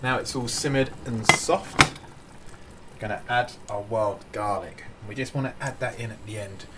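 A young man casually talking through a simple soup recipe in his home kitchen, in a relaxed, chatty way. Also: fairly low pitch, moderate pace. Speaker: vlogger cooking at home. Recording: loud background noise.

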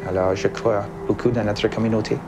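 A man's voice: lowish voice, flat delivery, brisk, very noisy. A man with a Canadian French accent speaking in an interview, repeating phrases as he gathers his thoughts. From Canadian Prairies. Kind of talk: documentary interview.

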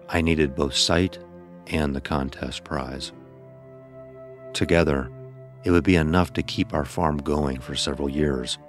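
A man reading first-person scripted narration for an audio story. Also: extremely low pitch.

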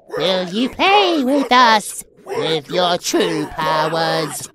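Slow, gloating demon monster. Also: female voice, low voice, exaggerated voice. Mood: taunting. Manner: slow, gloating, speaks of itself as we. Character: sadistic, playful hive-mind demon monster hungry for blood. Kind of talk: horror audio drama acting.

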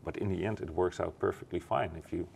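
Dutch-accented man, low voice, hesitant. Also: steady tone, quick pace, vocal fry, a little mellow, a little hiss or hum. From Netherlands. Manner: hesitant, many uh fillers and restarts. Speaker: company executive. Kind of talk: factory tour interview. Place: warehouse then glass-walled office.

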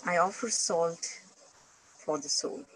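A woman tells a personal story in slow, deliberate phrases. The delivery is reflective and measured, picked up by a distant camera microphone in a clearly echoing space. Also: fairly low pitch, fairly bright tone. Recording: roomy, distant microphone via video playback.

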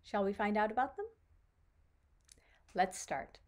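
A middle-aged woman reading a children's picture book aloud in a deliberate, expressive storytelling manner. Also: mid-range voice. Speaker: author reading her own children's book. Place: small room at home with bookshelves.

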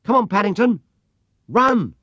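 A man plays an eager schoolboy in a children's storybook. He is high-pitched and excitable, exclaiming in lively, swooping bursts. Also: unhurried, husky, no echo, exaggerated voice. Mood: thrilled.

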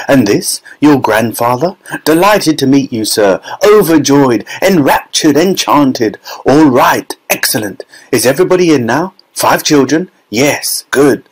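Male reader as quick little storybook factory owner, fluty, effusive, fussy, full of exclamations. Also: medium pitch, sing-song, slightly rough, rough recording. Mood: overjoyed, fussy.

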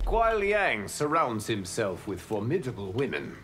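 A man playing a wise, calm guardian in a video game, with measured, formal phrasing. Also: medium pitch. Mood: calm, approving. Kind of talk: scripted video game dialogue. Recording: music behind the voice.

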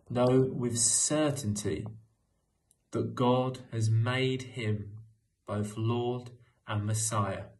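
Slow-paced young man reading scripture. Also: medium pitch, a little mellow, slight background noise, rough recording. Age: young adult. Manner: measured, deliberate. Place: small room at home.

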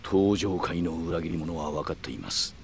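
An actor playing a calm, calculating middle-aged yakuza man who speaks in polite, measured, formal phrases in a video game. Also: sits low, slight background noise. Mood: grave, explanatory. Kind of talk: Japanese video game cutscene acting.

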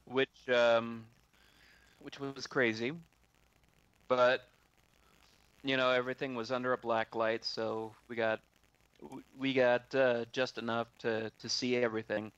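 A man casually telling a story on a video call, halting and often repeating his words. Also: mid-range voice, level tone, a bit bright, smooth, no room sound, lo-fi sound.

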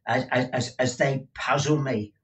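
A reader voicing an agitated, eccentric older male clerk who stammers and pleads in a slow audiobook reading. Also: mid-range voice, steady tone, far from the mic, natural voice. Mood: secretive. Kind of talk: audiobook character voice.

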